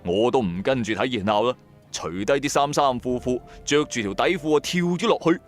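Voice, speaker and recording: A man's voice: medium pitch, moderate pace. A man with a Cantonese accent narrates a first-person ghost story in a colloquial, dramatic, suspenseful way. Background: music.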